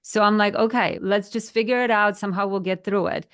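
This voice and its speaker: A woman's voice: medium pitch, fairly even intonation, brisk, fairly bright tone, clear, resonant tone. A woman in her thirties from Poland with a Polish accent, co-hosting a podcast chat and talking earnestly, sometimes searching for words. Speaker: podcast co-host. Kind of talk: casual chat.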